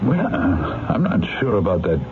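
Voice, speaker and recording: A probing, reassuring defense attorney in a vintage radio drama. His voice is very low and moves expressively as he questions. It comes through narrow and phone-like over music. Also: sing-song, background noise. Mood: reassuring, fussy. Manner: measured, questioning. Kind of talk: 1970s radio drama acting. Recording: muffled, phone-like old radio broadcast.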